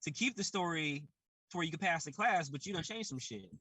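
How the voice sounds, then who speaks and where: A young man talks on a podcast in a relaxed way and makes his points with everyday comparisons. His voice is bright and sits a bit high. The sound is dry and close. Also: fairly quick, husky, no echo. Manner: loose, explains with everyday comparisons. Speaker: podcast co-host. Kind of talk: casual chat.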